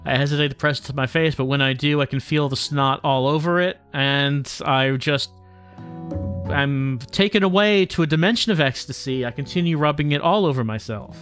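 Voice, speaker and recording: A man's voice: fairly high pitch, normal speed, a bit bright. Man streaming a game over music. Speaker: streamer playing a visual novel. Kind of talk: livestream let's play, reading game text aloud with casual commentary.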